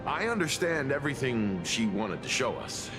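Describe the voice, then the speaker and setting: A man as a genial, expressive mature gentleman. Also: medium pitch, music in the background, natural voice, low-quality recording. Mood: thoughtful. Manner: courteous, reflective, deliberate. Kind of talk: video game character dialogue.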